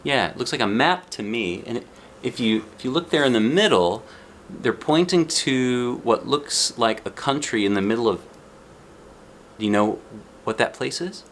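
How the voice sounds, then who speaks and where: A man's voice: medium pitch, expressive, moderate pace, a bit bright, slight background noise. A man reading a children's picture book aloud expressively, with chatty asides and questions to the young listener. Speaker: storybook reader.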